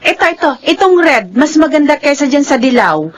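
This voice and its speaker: A woman's voice: sits high, sing-song, fairly quick. A woman acting an earnest, polite, timid young Filipino housemaid in a radio drama.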